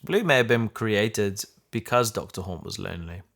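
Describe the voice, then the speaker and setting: A man with a somewhat low voice talks in an informal discussion. He is reflective, putting questions and feeling his way through his thoughts. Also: expressive, moderate pace, gravelly, fairly bright tone. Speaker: audio drama podcaster. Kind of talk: casual discussion chat.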